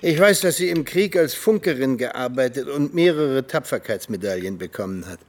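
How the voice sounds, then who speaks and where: An actor playing a disillusioned, hot-headed ageing former Soviet agent in a radio drama, with a sardonic manner. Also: male voice, medium pitch, expressive, moderate pace, natural voice. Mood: probing, sardonic. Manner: heated, sardonic speechifying.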